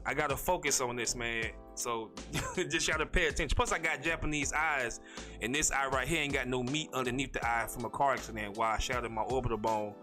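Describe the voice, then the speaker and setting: A man from North Carolina, hosting a casual solo podcast in a rambling, slangy way, talking fast with a stutter. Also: mid-range voice, sharp tone. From North Carolina, USA. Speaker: podcast host.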